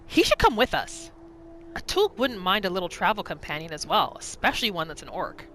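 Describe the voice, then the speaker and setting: Bright, animated woman gamer. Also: on the low side, fairly quick, slight background noise. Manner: musing aloud, expressive, questioning. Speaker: gaming video host. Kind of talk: role-play game commentary, narrating her character's thoughts.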